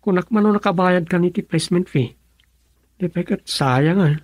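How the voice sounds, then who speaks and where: An actor with an Ilocano accent playing a lovesick, anxious young man in a radio drama, his voice husky. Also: sits high, normal speed, no echo. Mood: urgent.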